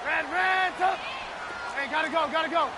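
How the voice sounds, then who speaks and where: A male quarterback in a football video game barking urgent, repetitive calls. His voice is pushed extremely high. It is buried in loud crowd and stadium noise. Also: normal speed. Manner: shouted, clipped, repetitive calls. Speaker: quarterback calling signals. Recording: distant shouts under loud crowd noise.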